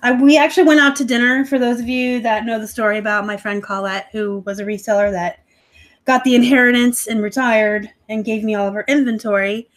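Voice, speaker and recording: A woman's voice: fairly high pitch, fairly even intonation, a little fast. Chatty, snarky woman streaming live. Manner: chatty, snarky, laughs often. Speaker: livestream host, online reseller. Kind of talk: casual live-stream chat reading comments. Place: home. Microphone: laptop live stream.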